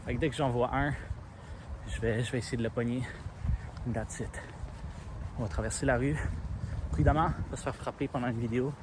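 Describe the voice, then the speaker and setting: A young man with a Quebec French accent walks along city streets and talks his plans through in a relaxed, plainspoken way, recording on his phone. His voice sits at an ordinary middle pitch. Passing cars and street sounds are clearly heard behind him. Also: brisk, pauses often, noisy background. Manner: relaxed, thinking aloud, plainspoken. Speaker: seeker in hide-and-seek vlog. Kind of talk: casual self-filmed vlog narration.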